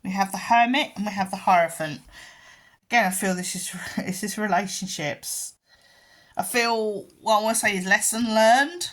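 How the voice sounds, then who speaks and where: A woman giving a pick-a-card tarot reading in a gentle, halting way, full of ums and restarts. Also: mid-range voice. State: croaky voice and yawning. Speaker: tarot reader.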